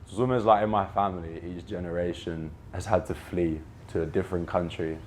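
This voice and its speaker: A man's voice: low voice, fairly even intonation, brisk, a little hiss or hum. A twenty-year-old man from Jordan and the UK gives earnest, heartfelt, reflective personal testimony. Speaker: documentary subject telling his family's story.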